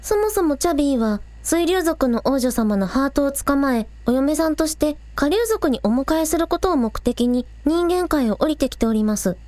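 Woman voicing an earnest high-pitched teenage idol girl. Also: lively intonation, normal speed, few pauses, darkish tone, dry recording. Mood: hesitant, earnest. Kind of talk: Japanese audio drama acting.

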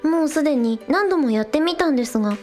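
A woman's voice: high-pitched, animated, fast talker, a little mellow, faint music behind. A woman voicing a spirited, earnest young shrine maiden and village idol in a radio drama. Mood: frustrated, resolute.

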